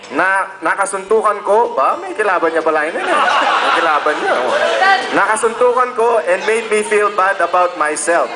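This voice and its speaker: A man's voice: high-pitched, normal speed, rough recording. A Filipino man, a native Tagalog speaker, reading aloud to an audience as a playful master of ceremonies with teasing asides. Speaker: master of ceremonies at a class reunion. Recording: loud music and crowd noise behind. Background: background music and a lively crowd.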